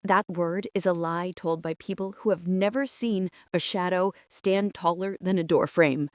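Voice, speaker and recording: A woman playing a traumatized, meticulous archivist, narrating a psychological horror drama in a brooding, deliberate way. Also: fairly low pitch, fairly even intonation, no room sound, clean studio sound, lightly acted. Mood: guarded, bitter. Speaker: narrator and lead character of an audio drama.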